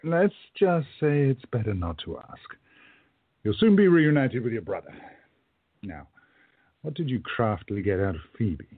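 An actor playing a calm, protective time traveller in a radio play, speaking in a measured, firm way. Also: male voice, mid-range voice, slightly rough, no room sound. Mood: soothing. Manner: measured, firm, hushed at times. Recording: narrow muffled phone-like audio.

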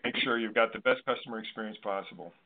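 A man hosting a conversational interview, speaking in a measured, agreeable way. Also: lowish voice. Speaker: discussion host. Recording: narrow tinny phone-like line.

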